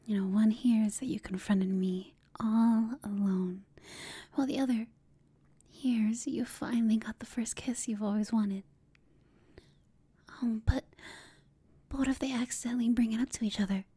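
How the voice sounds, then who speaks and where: A woman playing a confident, teasing female villain in an intimate ASMR roleplay, speaking in a smug, seductive, breathy whisper. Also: fairly high pitch, fairly even intonation, moderate pace, pauses often, dark tone, dry recording. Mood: flirtatious.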